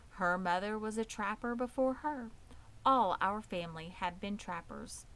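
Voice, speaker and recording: A woman's voice: medium pitch, a bit bright. A woman voicing a poised, pleasant and proud female spider in a children's storybook, composed, precise and matter-of-fact. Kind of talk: children's storybook read aloud.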